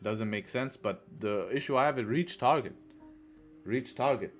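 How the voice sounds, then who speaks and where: A young man talks loosely into a headset, easygoing and repetitive, dropping bro and man as he chats to viewers. His voice sits at an ordinary middle pitch, with soft music faintly underneath. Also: normal speed. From Dubai. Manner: relaxed, repeats phrases, says bro and man. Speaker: forex trading streamer. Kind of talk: casual live-stream commentary. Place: small room indoors.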